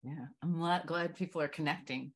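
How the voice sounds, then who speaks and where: A woman's voice: sits low, fairly even intonation, normal speed, breathy edge. A woman hosting and moderating a discussion over a video call. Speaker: host. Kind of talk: reading audience comments aloud, moderating.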